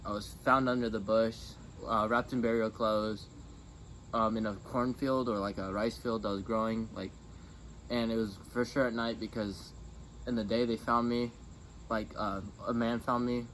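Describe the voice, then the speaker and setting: Young adult male guest, lower register, informal and hesitant storytelling, light background noise. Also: flat delivery, moderate pace, a bit bright. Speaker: interview guest. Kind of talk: casual personal storytelling. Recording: clearly noisy background.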